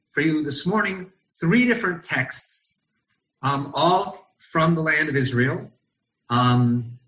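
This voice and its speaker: A middle-aged American man from Los Angeles, a rabbi leading an online study session over a video call, speaking thoughtfully and often pausing with ums as he searches for words. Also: medium pitch, sharp tone, rough recording. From Los Angeles, United States. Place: at home in Israel.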